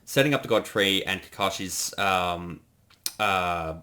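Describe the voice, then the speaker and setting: Male co-creator on commentary, lowish voice, explains as he goes. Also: pushed and bright. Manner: explanatory, thinking aloud with pauses. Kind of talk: casual commentary chat.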